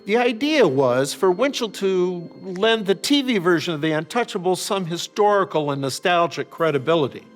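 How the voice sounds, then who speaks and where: An older American man giving reflective, explanatory commentary as an author and host, measured and articulate, with parenthetical asides. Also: high voice, lively intonation, faint music behind. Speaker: author and series host discussing his novels. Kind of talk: reflective explanatory commentary, semi-scripted narration.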